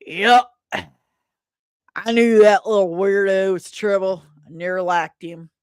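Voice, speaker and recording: A woman voicing a gruff, suspicious rural man in his fifties, with a blunt folksy drawl, in a dramatic comic reading. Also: medium pitch, fairly even intonation, slow, no room sound, clean studio sound, natural voice. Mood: scornful.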